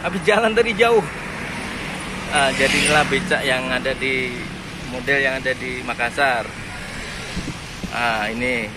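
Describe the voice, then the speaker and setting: A man's voice: medium pitch, measured pace, long pauses. Chatty man vlogging from a noisy street. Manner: chatty, hesitant with fillers, addresses viewers as friends. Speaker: travel vlogger. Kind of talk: casual travel vlog commentary. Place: outdoors on a busy city street, riding a pedicab. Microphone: phone camera held at arm's length. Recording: loud outdoor traffic noise. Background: loud street traffic.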